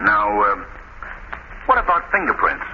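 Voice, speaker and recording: Gentleman detective, polished, probing, expressive pitch, old radio play, muffled recording. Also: a little slow, slight background noise. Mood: smooth, probing. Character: suave, inquisitive gentleman amateur detective. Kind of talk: 1930s radio drama acting.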